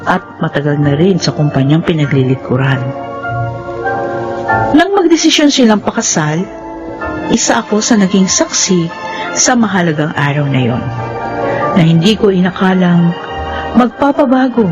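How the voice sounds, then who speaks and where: A woman's voice: lowish voice, very animated, normal speed, long pauses, dull audio. An actress playing a good-humored, single young saleswoman telling her story in a radio drama. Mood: reflective. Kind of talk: radio drama narration and dialogue. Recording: music behind the voice.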